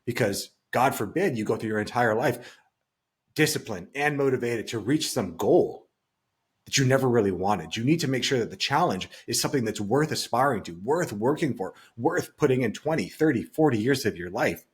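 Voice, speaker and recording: A man's voice: medium pitch, animated, normal speed, slightly rough. A man co-hosting a podcast as a financial coach, talking earnestly and giving his opinions in a reflective, casual way.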